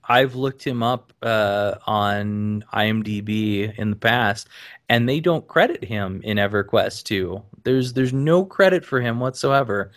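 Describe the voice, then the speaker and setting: A man hosting a casual podcast, talking in a measured way with many pauses and restarts. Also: medium pitch, fairly bright tone. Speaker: podcast host.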